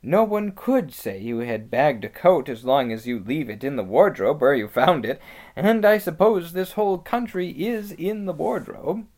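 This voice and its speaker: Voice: mid-range voice, expressive, normal speed, a little mellow, pitched above the performer's own voice, close to an everyday voice. A storybook narrator voicing a hearty, decisive schoolboy, the eldest brother.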